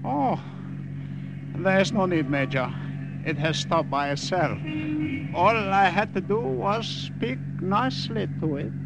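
An actor playing a meek, childlike Siamese driver in an old radio drama, coaxing and repeating himself in simple clipped phrases. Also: male voice, sits high, expressive, slow pace, heavy background noise, full character voice. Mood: relieved, pleased. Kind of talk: 1940s radio acting. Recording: old radio drama recording, loud background sound.

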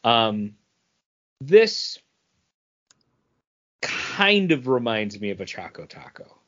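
Animated man chatting on a podcast. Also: medium pitch, slow. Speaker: podcast co-host. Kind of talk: casual chat. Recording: slightly dull, lo-fi podcast audio.

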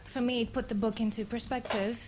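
A native Greek woman with a Greek accent, asking an earnest, polite, halting question from the audience at a public event. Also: on the high side, level tone. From Greece. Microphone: handheld audience microphone. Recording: faint background noise, live event.

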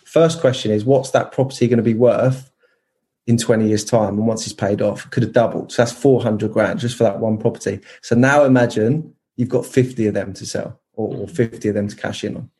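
A man's voice: fairly low pitch, steady tone, fairly quick, dark tone. A man in his thirties, a podcast guest explaining his plans in casual chat, eager and full of restarts. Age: 30s. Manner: enthusiastic, many restarts and fillers.

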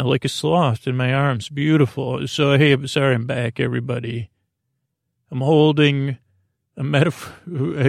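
Creaky-voiced man rambling softly through bedtime talk. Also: medium pitch, no room sound, studio quality. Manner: meandering, halting, absurd whimsical asides, stumbles over words. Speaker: podcast host. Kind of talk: rambling improvised bedtime monologue to help listeners fall asleep.

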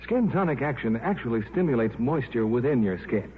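A man's voice: medium pitch, lively intonation, fairly quick, slight background noise. A man with an American accent reading a scripted 1950s radio advertisement as announcer. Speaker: commercial announcer. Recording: muffled thin 1950s radio recording.

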